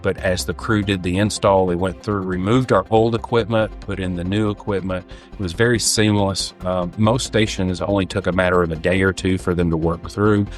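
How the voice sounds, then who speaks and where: A man's voice: deep voice, fairly even intonation, normal speed. A man speaking as a fire department official in an interview testimonial, explaining things in a plainspoken, matter-of-fact way. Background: background music.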